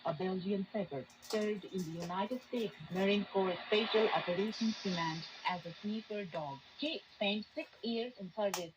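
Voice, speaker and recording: A woman's voice: mid-range voice, moderate pace, few pauses, background noise. A woman presenting scripted news narration, heard through a shortwave radio broadcast.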